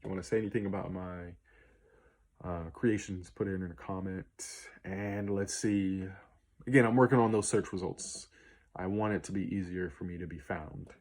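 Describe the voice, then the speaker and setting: Late-20s male musician vlogger, low, slightly hoarse voice, loose and unscripted, spells out names slowly. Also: steady tone, darkish tone. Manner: easygoing, rambling with many ums and spelled-out names. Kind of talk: casual Q&A vlog.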